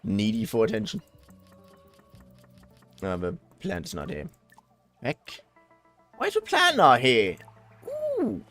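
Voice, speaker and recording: A man's voice: fairly high pitch, wildly swooping pitch, takes it slowly, vocal fry, pauses often, fairly bright tone, faint music behind. A man livestreaming a video game with casual, theatrical commentary, emphatic and given to casual swearing. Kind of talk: casual let's-play commentary with dramatic read-aloud.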